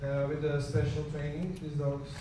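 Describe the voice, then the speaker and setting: Halting Croatian man in an echoey hall. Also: on the high side, completely flat delivery, noisy background. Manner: halting, many fillers and restarts. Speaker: conference presenter. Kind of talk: conference presentation. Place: conference hall. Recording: echoey hall, voice far from mic.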